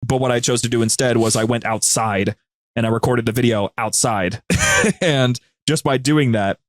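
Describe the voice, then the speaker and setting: Man, medium pitch, dry close sound, enthusiastic storyteller, casual interview talk. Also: normal speed, dry recording. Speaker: podcast guest and co-host of a media group's show.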